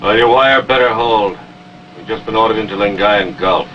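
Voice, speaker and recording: An American actor playing a confident, unflappable submarine commander in a 1950s television war drama. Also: male voice, on the low side, moderate pace, natural voice. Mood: calm, confident. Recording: noisy, dull, distant 1950s film soundtrack.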